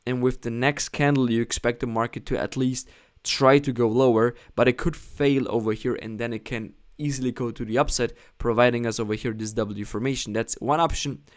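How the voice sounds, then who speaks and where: A man's voice: mid-range voice, fairly even intonation, a little fast. Man explaining forex charts live. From Germany. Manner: explanatory, repeats "okay?" often, non-native phrasing. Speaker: forex trading analyst, live stream host. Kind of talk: live trading analysis stream, reading chat.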